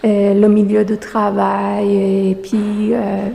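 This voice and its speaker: Hesitant gravelly-voiced woman, non-native. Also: mid-range voice, monotone, slow pace, dark tone. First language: not French. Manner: hesitant, many fillers and restarts. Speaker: exhibiting artist. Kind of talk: interview answers. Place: art gallery. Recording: long reverb of a gallery room.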